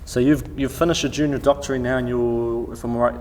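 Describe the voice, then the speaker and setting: A man's voice: medium pitch, steady tone, brisk, a little mellow, long reverb tail, a little hiss or hum. A man hosting an interview, asking casual questions with frequent uhs and restarts. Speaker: interviewer, coach.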